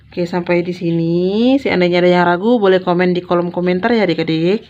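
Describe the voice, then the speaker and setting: A woman's voice: on the low side, a little fast, darkish tone. Woman tutor, dull lo-fi recording. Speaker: math tutor. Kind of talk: informal step-by-step lesson explanation. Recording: dull, lo-fi sound.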